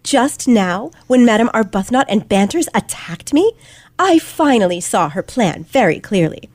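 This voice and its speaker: A woman playing a confident, sharp teenage girl detective in an old-fashioned radio play, with precise, theatrical delivery. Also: fairly high pitch, no room sound. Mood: assured, dramatic. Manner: theatrical, precise, dramatic emphasis.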